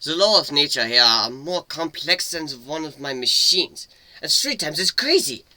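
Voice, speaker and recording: A man's voice: on the high side, sing-song, normal speed, bright, forceful tone, no echo, heavily acted, poor audio. A man voicing a jumpy, sardonic male inventor adventurer in a dramatic fantasy story reading. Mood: uneasy, dry.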